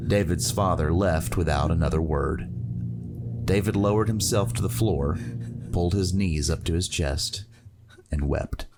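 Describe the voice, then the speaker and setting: Very deep-voiced male story narrator. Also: unhurried. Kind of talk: scripted fantasy story narration. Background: music.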